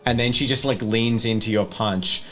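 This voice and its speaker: A man running a tabletop horror game, narrating casually in graphic, halting descriptions and explaining rules. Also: medium pitch, a bit bright. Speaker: game master.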